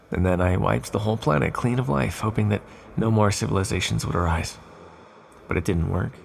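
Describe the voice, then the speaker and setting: An ancient, accursed villain, acted by a man for an ASMR roleplay, speaks in a low voice thick with gravelly fry. The delivery is measured and formal, with hesitant confidences. Menace sits beside a tender, calm temper. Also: slight background noise.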